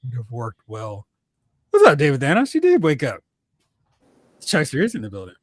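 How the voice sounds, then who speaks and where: A man's voice: mid-range voice, huge pitch swings, normal speed, no echo. A man hosting a live talk stream, chatting casually and giving fan commentary. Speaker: host of a live talk stream on movies and comics.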